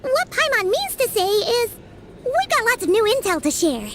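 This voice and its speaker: Tiny childlike game fairy: very high, squeaky, swooping delivery, chirpy sidekick, music behind. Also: female voice, moderate pace, slight background noise, exaggerated voice. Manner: childlike, expressive, refers to self by name. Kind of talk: video game voice acting.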